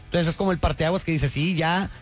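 A man's voice: a little high, fairly even intonation, quick pace, faint music behind. A man, co-hosting radio in an upbeat, agreeable manner. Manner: upbeat, agreeable, laughs often. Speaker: radio co-host. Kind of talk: casual radio banter.

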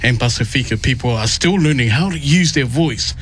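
A man's voice: medium pitch, animated, fairly quick, fairly bright tone. Effusive New man hosting, noisy background. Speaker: radio show host. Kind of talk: interviewing musical guests.

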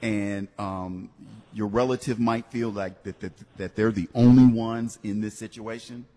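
A man's voice: fairly low pitch, fairly even intonation, a little slow, dark tone. A man hosting a live radio talk show, encouraging callers in a preachy, faith-filled way. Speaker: radio host.

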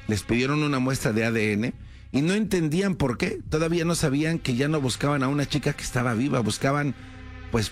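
A man telling a true crime story in a casual, conversational way, with many fillers and tag questions. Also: medium pitch.

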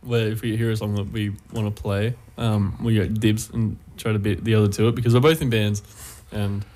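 A man's voice: on the low side, little pitch movement, warm tone, slight background noise. A young man chatting casually as a podcast co-host, upbeat and talkative. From Australia. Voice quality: upbeat, chatty, quick to laugh. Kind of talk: casual chat.

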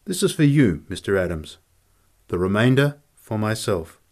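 A man voicing a courteous, soft-spoken man in a story reading, formal and deferential in his manner. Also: lowish voice, very animated, moderate pace. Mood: polite, attentive. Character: courteous, soft-spoken male companion of a prizefighter.

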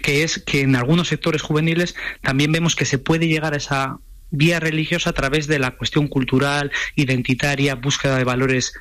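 A man with an accent from Spain speaking as an expert guest in a radio interview, explaining in a measured, academic way. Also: a little high, fairly even intonation, a bit bright, no room sound. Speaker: sociologist, university professor, guest expert.

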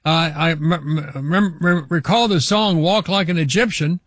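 An older man hosting a talk radio show, giving opinionated commentary in a halting way with restarts. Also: fairly high pitch, fairly bright tone, dry recording, studio quality. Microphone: studio microphone.

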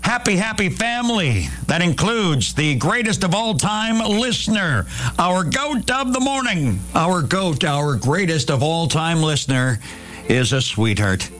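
A man hosting a morning radio show with a lively, singsong delivery. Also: sits high, measured pace. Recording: radio broadcast with music underneath.

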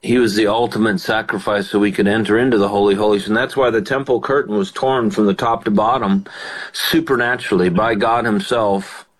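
Low-voiced older pastor hosting radio. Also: male voice, steady tone, fairly quick, rough recording. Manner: warm affirmations, fervent praying. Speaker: radio show host and pastor. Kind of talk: call-in radio with prayer.

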